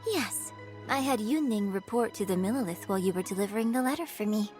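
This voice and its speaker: A woman's voice: mid-range voice, fast, a little mellow, lightly acted. Poised young opera lady, voiced by a woman, over music. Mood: calm, sly. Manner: composed, courteous, feigned innocence. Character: poised, polite, quietly cunning young female opera performer. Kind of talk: video game voice acting. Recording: background music under dialogue.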